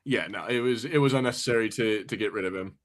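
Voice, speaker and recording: A man's voice: fairly low pitch, quick pace. A man co-hosting a podcast, chatting casually about films in a blunt, rambling, sweary way. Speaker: podcast co-host. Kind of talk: casual film banter.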